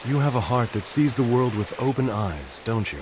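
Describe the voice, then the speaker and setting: An actor playing a gentle, melancholy, philosophical gentleman in a video game cutscene, speaking in a measured, courteous, reflective way. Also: medium pitch, lively intonation, background noise, natural voice. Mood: wistful.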